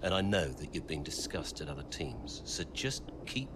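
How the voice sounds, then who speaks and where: An actor playing a persuasive middle-aged father who owns a racing team in a video game, speaking in a measured, placating way. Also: extremely low pitch, big pitch swings, background noise. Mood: coaxing, confiding. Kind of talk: video game cutscene acting.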